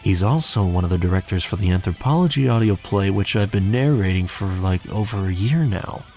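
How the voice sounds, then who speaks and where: A young man with a fairly low voice talks through a casual, scripted video update. He is wry and self-deprecating and swears freely. Faint guitar music plays behind him. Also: expressive, a little fast, dark tone. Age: young adult. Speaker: hobby narrator and audio play director giving a channel update.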